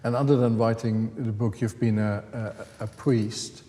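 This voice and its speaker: A man's voice: on the low side, steady tone, moderate pace, mellow. A male interviewer asking long, searching questions with frequent hesitations. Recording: long reverb of a hall.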